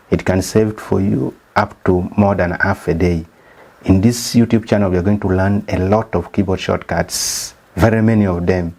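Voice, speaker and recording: Deep-voiced Ugandan man teaching. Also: a little mellow. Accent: Ugandan English. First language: not English. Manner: methodical, explains step by step. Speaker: statistician and channel host. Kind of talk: presenting a slideshow lesson.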